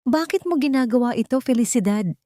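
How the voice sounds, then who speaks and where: A woman's voice: on the high side, expressive, a little fast, dark tone, no echo, clean studio sound, pitched above the performer's own voice, natural voice. Woman playing a weary, troubled father. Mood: weary, pleading. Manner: tired, questioning. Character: weary, confused wealthy man, a grieving father.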